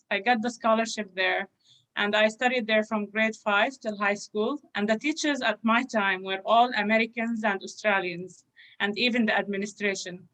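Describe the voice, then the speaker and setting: Panelist, woman from Gaza, fairly high voice, matter-of-fact storyteller. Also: bright, forceful tone, poor audio. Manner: clear, matter-of-fact recounting. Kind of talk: personal storytelling answer.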